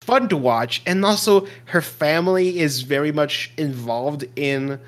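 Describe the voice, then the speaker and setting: A man co-hosting a podcast in casual chat, excitable and gushing, often restarting his sentences. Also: on the high side, measured pace. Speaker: podcast co-host.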